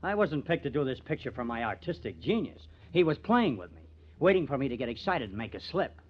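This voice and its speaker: An actor in an old-time radio crime drama playing a bitter, sardonic newspaper artist who has turned murderer. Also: male voice, medium pitch, big pitch swings, brisk, husky. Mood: bitter, confessing. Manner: sardonic, menacing. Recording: muffled vintage radio recording.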